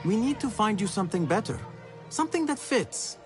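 Cocky, persistent young thief for a game; high, animated delivery. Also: male voice, fairly quick, music in the background. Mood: friendly, caring. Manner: confident, persistent. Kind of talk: video game cutscene acting.

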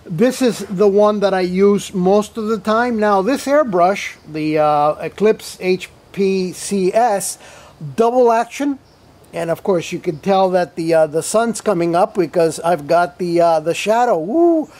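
A man's voice: sits high, lively intonation, a little slow, darkish tone. A man hosting an airbrush tutorial, talking casually while he shows the tool at a table, rambling with fillers, restarts and playful asides. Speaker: host of an airbrush tutorial show. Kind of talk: casual product demonstration. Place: indoor table-top studio, hands-on demo.

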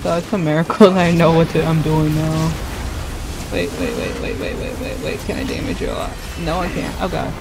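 Young woman gamer with a creaky voice. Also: sits low, lively intonation, brisk, very noisy. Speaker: livestreaming gamer. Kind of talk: casual chat while playing a game. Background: video game music and combat sound effects.

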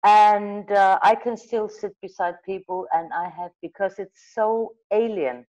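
A woman's voice: medium pitch, a little slow, low-quality recording. A middle-aged Icelandic woman, a magazine editor, telling stories animatedly over a video call with an earbud mic, often restarting her sentences. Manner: animated storyteller, gestures, restarts and self-corrections. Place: room with wall of photos. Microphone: earbud headset mic on video call.

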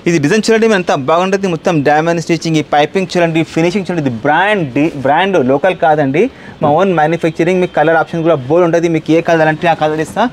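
Very fast-talking Hyderabad Telugu salesman. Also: fairly high pitch, steady tone. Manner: repetitive, insistent salesman patter mixing in English words. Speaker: furniture shop owner and salesman. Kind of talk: unscripted sales pitch in a product showcase. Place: large indoor furniture showroom. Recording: clear background room noise.

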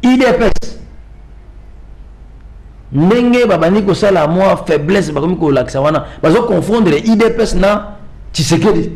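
Middle-aged male political pundit, Congolese accent, lively swooping delivery, fairly high voice, emphatic repetition. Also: moderate pace, long pauses, rough recording. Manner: emphatic, repeats phrases for stress. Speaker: political commentator guest. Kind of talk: televised political discussion in mixed Lingala and French.

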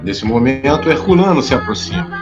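A man's voice: mid-range voice, very animated, fairly quick, dull audio. Male drama narrator over music. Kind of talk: scripted audio drama narration.

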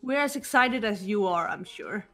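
A woman voicing a cool, composed teenage girl detective in a game, measured and challenging. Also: mid-range voice, expressive, fairly bright tone, soft background music. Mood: cool, probing. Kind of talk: character voice acting in a game fan dub.

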